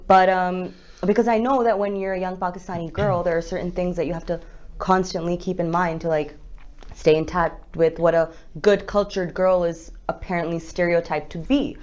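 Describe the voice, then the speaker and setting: Woman hosting a discussion, slightly low voice. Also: fairly even intonation, normal speed, a little mellow. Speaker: interview host. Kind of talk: conversational discussion interview.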